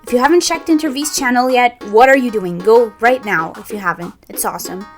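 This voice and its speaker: A woman's voice: on the high side, fairly quick, soft background music. A young woman, a voice actress chatting playfully through a fan Q&A. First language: Brazilian Portuguese. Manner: bubbly, playful, giggly. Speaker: voice actress and channel host. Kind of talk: casual Q&A chat.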